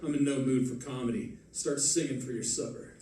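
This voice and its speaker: A man playing a mellow-voiced hardboiled radio detective. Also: mid-range voice, steady tone, brisk, natural voice. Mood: tough, menacing. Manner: clipped, wisecracking tough talk. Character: hardboiled, determined male detective, tender to his wife. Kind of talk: old-time radio detective drama acting. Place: live stage performance, mic at some distance. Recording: roomy stage recording, distant, faint noise.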